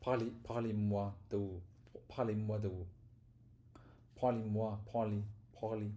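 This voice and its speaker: An adult man learning French, repeating practice phrases slowly and stumbling over words as he records himself. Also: fairly low pitch, level tone, dark tone. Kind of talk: self-recorded pronunciation practice.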